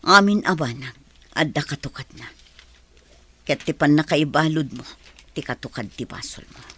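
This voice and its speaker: An actress playing a sorrowful, reproachful but forgiving woman in a radio drama, with a husky, breathy voice. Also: low-pitched, animated, normal speed, fairly bright tone, exaggerated voice. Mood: hurt, reproachful.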